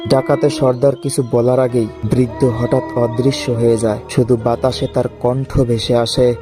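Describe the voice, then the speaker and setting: A man narrating a horror story in an even, measured manner. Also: mid-range voice, music in the background.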